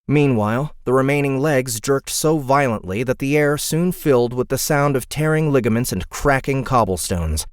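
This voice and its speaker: A man's voice: mid-range voice, moderate pace, few pauses, clear, resonant tone, no room sound, clean studio sound. A man reading dramatic scripted fantasy narration as an audiobook narrator.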